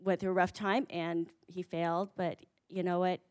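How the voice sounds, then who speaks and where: A woman's voice: fairly low pitch, flat delivery, moderate pace, a little mellow. A woman host giving a heartfelt, faith-centered introduction of the next speaker, with frequent fillers. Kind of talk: warm spoken introduction.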